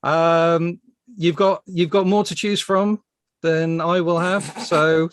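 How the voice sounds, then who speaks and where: A man hosting a casual panel chat, speaking haltingly and often repeating his words. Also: a little high, fairly even intonation. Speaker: podcast host.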